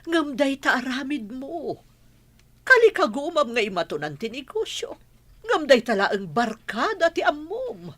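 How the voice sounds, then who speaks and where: Woman playing a slow, low-voiced Ilocano matriarch. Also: very animated, a bit bright, no echo, heavily acted. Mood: stern, scolding. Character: middle-aged business-owning mother, stern with her wayward son. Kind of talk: Ilocano radio drama acting.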